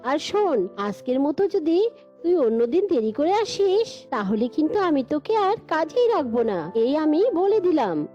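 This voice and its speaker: A woman's voice: high-pitched, big pitch swings, unhurried, dark tone. A woman voicing a bossy, scolding household employer, curt and threatening, in a cartoon. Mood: irritated. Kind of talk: Bengali cartoon dialogue. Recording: dull lo-fi sound with music.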